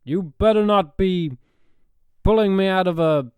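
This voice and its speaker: A man's voice: sits high, measured pace, smooth, dry recording. A man playing an irritable, self-important space therapist who stammers and gets flustered in an improvised comedy. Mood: indignant. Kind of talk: improvised comedy audio drama.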